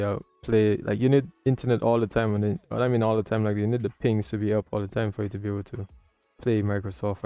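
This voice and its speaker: A man's voice: fairly low pitch, clear, resonant tone, no room sound, highs cut off. A man hosting a livestream, talking casually as he comments and explains as a learner. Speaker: livestream host learning air traffic control.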